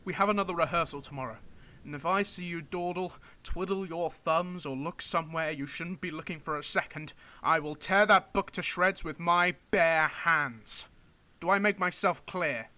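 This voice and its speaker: Guy doing angry army boss in a radio play, highish forceful voice, clipped and scolding. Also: moderate pace. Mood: menacing, scolding. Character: stern, angry male military superior berating a subordinate. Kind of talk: radio drama acting.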